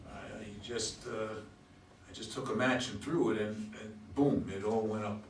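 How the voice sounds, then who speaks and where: A man in a stage play reading, playing a flustered, evasive homeless man with a halting, stammering delivery. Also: on the low side, steady tone, close to an everyday voice. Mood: hesitant, evasive. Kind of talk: stage play read aloud by readers' theater. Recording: echoey room, distant mic, faint noise.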